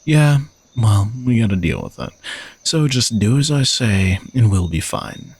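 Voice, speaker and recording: A man's voice: fairly low pitch, very animated, measured pace, gravelly, darkish tone, husky, dry recording, heavily acted. A man playing an arrogant, sardonic knight who is a reluctant royal bodyguard, teasing and condescending in a romantic audio roleplay. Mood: resigned, bossy. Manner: teasing, dry, exasperated sighs, condescending.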